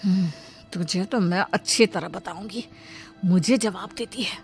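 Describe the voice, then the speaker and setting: A woman's voice: mid-range voice, a little slow, over music, lightly acted. A woman voicing a nagging, suspicious older mother-in-law in a cartoon moral story, with a scolding, complaining manner. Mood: indignant, scolding.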